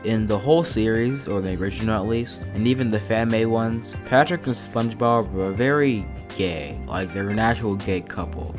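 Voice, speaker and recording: A man with a lisp and a stutter, talking slowly and calmly through a casual, swear-filled commentary recorded at home. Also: on the low side, over music. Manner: deliberately slow and calm delivery. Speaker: YouTube commentator.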